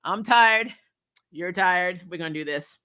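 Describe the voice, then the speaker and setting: A woman's voice: fairly low pitch, a little slow, sharp tone, clear, resonant tone. A woman hosting a casual, unscripted review show, talking bluntly and animatedly and acting out little quoted asides. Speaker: host of a TV episode review show. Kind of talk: casual unscripted review chat with viewer comments.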